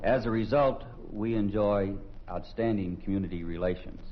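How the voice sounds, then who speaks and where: Male colonel, deep voice, formal explanatory briefing, deliberate phrasing, lo-fi tape with faint noise. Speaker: army colonel, commanding officer. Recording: dull, noisy vintage radio recording.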